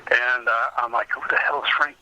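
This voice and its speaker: A man's voice: medium pitch, steady tone, fairly quick, sharp tone. A middle-aged man being interviewed, telling casual anecdotes from his own experience as a researcher and collector. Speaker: interview guest, comic book researcher and collector. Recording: dull lo-fi phone-like call audio.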